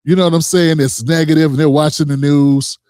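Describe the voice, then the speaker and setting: A man's voice: a little high, steady tone, brisk, dark tone, clear, resonant tone, no echo, clean studio sound. A man hosting a reaction commentary, talking in a heated, emphatic way with frequent profanity and direct address to viewers. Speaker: commentary host.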